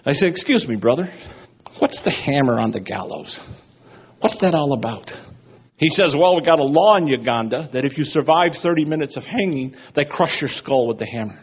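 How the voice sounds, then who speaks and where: Husky-voiced man preaching a testimony. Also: on the high side, normal speed. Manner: dramatic, swings between hushed and excited. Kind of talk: sermon testimony. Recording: dull lo-fi recording.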